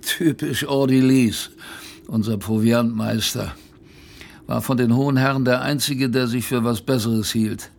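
A man's voice: medium pitch, steady tone, a little slow, gravelly, a little mellow. An actor playing a former polar sailor who recounts his hardships in a wry, colloquial radio play narration.

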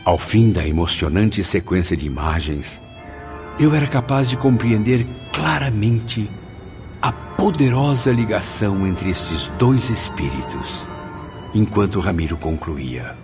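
A man's voice: on the low side, expressive, a little slow, over music. An actor playing an earnest, sorrowful male spirit who solemnly tells his story in a radio soap, with a Brazilian accent. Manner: solemn, formal. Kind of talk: dramatic scripted narration in radio soap.

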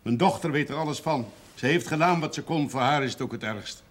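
A man's voice: medium pitch, fairly quick, lightly acted. A middle-aged man playing a gloomy, debt-ridden farmer and brewer in a television period drama. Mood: weary, defeated. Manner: gloomy, terse, resigned. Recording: noisy old TV soundtrack.

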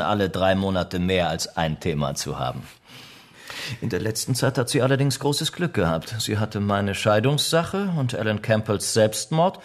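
Actor as low-voiced, jaded, witty gentleman cynic. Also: lightly acted. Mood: dryly amused. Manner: urbane, patronising, aphoristic. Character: witty, cynical, worldly English gentleman, middle-aged and jaded. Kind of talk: German radio drama acting.

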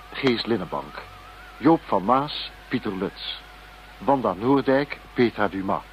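Slow, formal male radio announcer. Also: medium pitch. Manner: formal, measured. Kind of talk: scripted radio drama credits. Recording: dull, slightly noisy old radio recording.

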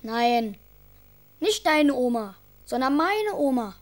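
A woman's voice: high-pitched, sing-song, slow pace, exaggerated voice. A female performer playing a young boy, imaginative and caring, chatty and earnest in a children's radio drama. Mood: patient.

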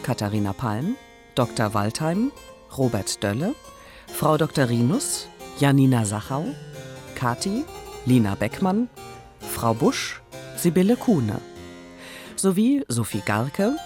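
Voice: mid-range voice, big pitch swings, takes it slowly, long pauses, darkish tone. An announcer reading scripted cast credits for a radio drama. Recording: music under the announcement.

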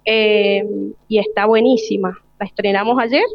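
A woman's voice: mid-range voice, steady tone, unhurried, dry recording. A woman with an Argentine accent, a teacher explaining things colloquially in a live radio interview. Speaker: school teacher. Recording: slightly dull, lo-fi live broadcast sound.

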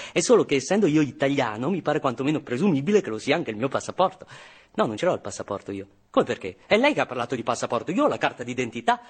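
A man's voice: fairly high pitch, husky. An actor playing an exasperated young Italian man in a theatrical radio monologue, emphatic and pleading. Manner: emphatic, pleading, spelling out words insistently. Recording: music behind the voice.

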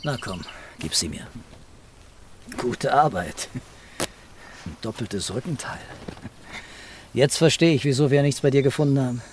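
An actor playing a weary, quietly intense police commissioner in a radio crime drama. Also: male voice, medium pitch, a little slow, pauses often, a little hiss or hum, lightly acted. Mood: calm.

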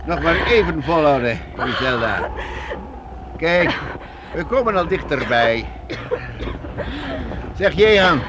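Bossy but kind medieval troupe boss, highish voice, noisy old radio play. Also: male voice, lively intonation, slow, pauses often. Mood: encouraging, instructing. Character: older master of a wandering troupe of minstrels, kindly but bossy. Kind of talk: radio play acting for children.

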